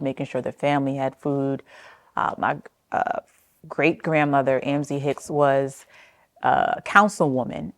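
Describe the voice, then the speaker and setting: Very deep-voiced American woman, reflective. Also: dry recording. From New Jersey, United States. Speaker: interviewee sharing family history. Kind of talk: personal oral-history storytelling for documentary.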